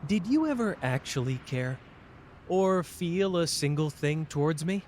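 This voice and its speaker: A man's voice: fairly high pitch, lively intonation, a little slow, a little mellow, a little hiss or hum. A man playing a fierce, protective young sorcerer who feels betrayed and is in love, in an emotional, intimate anime roleplay addressed to the listener. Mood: hurt, angry. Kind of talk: dramatic ASMR character roleplay.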